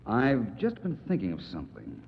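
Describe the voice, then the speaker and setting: An actor playing a suave, composed detective who asks polite, probing questions in a 1940s radio drama. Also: male voice, lowish voice, huge pitch swings, moderate pace, gravelly, exaggerated voice. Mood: composed, pensive. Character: suave, composed male amateur detective, thoughtful and urbane. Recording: reverberant, muffled, faintly hissy 1940s radio recording.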